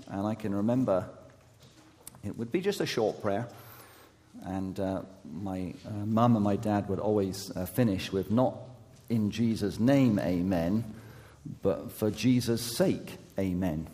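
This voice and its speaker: Man, youth pastor, sermon, hoarse, halting with fillers, long church reverb. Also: lowish voice. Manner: halting, many fillers and restarts, gentle self-deprecating humor. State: losing his voice. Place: church sanctuary.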